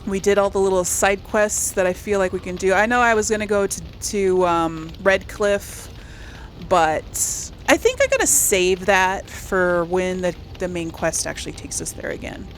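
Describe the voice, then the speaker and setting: A woman's voice: mid-range voice, normal speed, a little hiss or hum, poor audio. A woman hosting a casual let's play, chatting to viewers and thinking aloud in a tentative way. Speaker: gaming video host and player.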